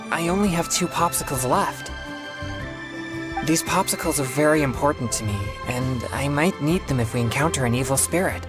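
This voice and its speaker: An actor playing an earnest young exorcist in a video game, speaking politely and formally with hesitant pauses. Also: male voice, fairly high pitch, close to an everyday voice. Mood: reluctant, kind. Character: earnest young male exorcist, excitable yet self-controlled.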